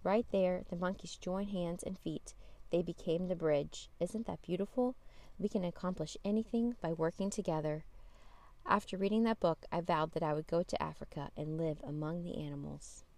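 A woman reading a children's picture book aloud as a storytime host, with expressive emphasis on key words. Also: fairly low pitch, moderate pace, dry recording. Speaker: storytime podcast host and reader.

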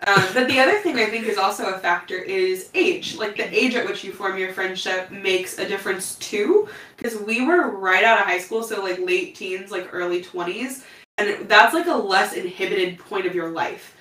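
A woman in her thirties, a podcast guest in casual chat, animated, laughing often and saying "like" a lot. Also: medium pitch, normal speed. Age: 30s. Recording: echoey room from a distant mic with faint noise.

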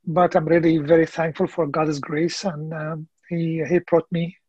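A man, a ministry leader talking in a halting, earnest way with many fillers as a podcast guest. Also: fairly high pitch, little pitch movement, darkish tone, husky, no echo, muffled recording. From Egypt. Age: late 50s. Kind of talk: casual interview conversation.

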